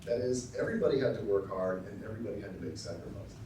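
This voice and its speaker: A middle-aged man from Texas giving a formal acceptance speech with dry, self-deprecating humor, often pausing with ums and uhs. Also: fairly low pitch, animated, a crowd in the background. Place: large lecture hall. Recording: very echoey hall, voice from far off.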